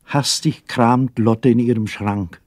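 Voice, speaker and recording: A man narrating a children's radio play as an expressive storyteller with scripted delivery. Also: mid-range voice, takes it slowly, no echo, clean studio sound. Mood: storytelling.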